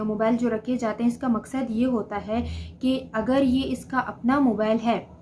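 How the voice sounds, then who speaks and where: A woman giving an explanatory study lesson as a lecturer, reading key phrases slowly and then explaining them plainly. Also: on the high side, mellow, slight background noise. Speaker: law lecturer. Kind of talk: explanatory study lesson mixing read-out English notes with commentary.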